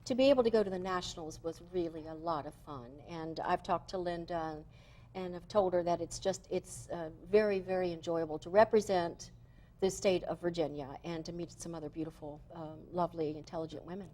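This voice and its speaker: A woman's voice: lowish voice, fairly even intonation, brisk, mellow. A woman in her sixties, a former pageant queen appearing as a TV guest, speaking graciously and politely with some fillers. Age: 60s.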